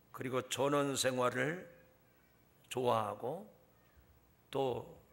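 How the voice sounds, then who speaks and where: A man preaching a sermon in a measured way, building in intensity as he tells the scripture story. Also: mid-range voice, vocal fry, bright, forceful tone. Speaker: pastor.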